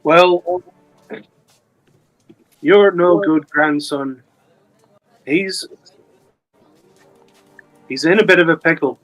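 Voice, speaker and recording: A player in a tabletop game who talks his way through a deal, patient and persuasive. His voice sits slightly high for a man. Soft music plays behind the table. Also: very slow, pauses often, muffled recording. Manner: persuasive, smooth-talking. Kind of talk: in-character roleplay talk.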